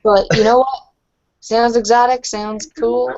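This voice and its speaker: A woman's voice: a little high, level tone, measured pace, a little mellow, muffled recording. A woman improvising casual in-character roleplay talk as a blunt, curious bar-goer, rambling and slangy. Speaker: tabletop roleplay player.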